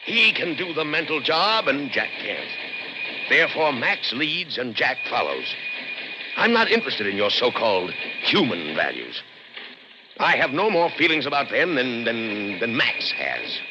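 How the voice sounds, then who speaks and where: An actor playing a proud, coldly rational middle-aged inventor in a radio drama, lecturing emphatically. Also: male voice, mid-range voice, big pitch swings, a little slow, noisy background. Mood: imperious. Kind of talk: 1960s radio drama acting. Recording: dull old radio broadcast recording.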